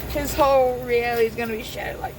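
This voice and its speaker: A man with a high voice giving a casual tarot reading at work, chatty, swearing now and then, repeating himself. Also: fairly even intonation, fast talker, noisy background. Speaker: tarot reader.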